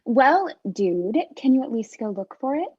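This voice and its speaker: A woman's voice: on the high side, sing-song, unhurried, a little mellow, slightly rough, no echo, heavily acted. A woman playing an entitled, disdainful middle-aged shopper in a comedy table read, pointedly polite and demanding. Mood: politely demanding. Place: remote virtual table read. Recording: muffled video-call audio.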